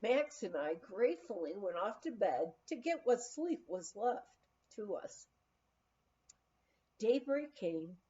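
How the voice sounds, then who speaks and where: A woman reading a memoir aloud in an expressive storytelling style. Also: medium pitch, muffled recording. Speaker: audiobook reader.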